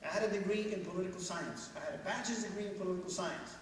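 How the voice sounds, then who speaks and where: A man giving an animated motivational speech to students, asking the crowd many questions. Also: high voice, very fast, recorded from a distance, slight background noise. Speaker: guest speaker at a school assembly. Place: large school hall. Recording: echoey hall, mic heard from afar.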